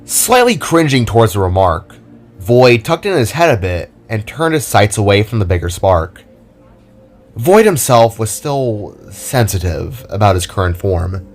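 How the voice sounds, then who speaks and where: A man's voice: on the low side, very animated, measured pace. A man reading scripted narration for an audio drama.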